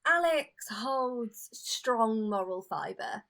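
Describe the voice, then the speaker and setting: Radio play role for an actress: petulant, haughty horsewoman of famine, high voice, hugely animated, theatrical delivery. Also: very slow, heavily acted. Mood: haughty, sulky. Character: Famine, vain, petulant temptress horsewoman of the apocalypse.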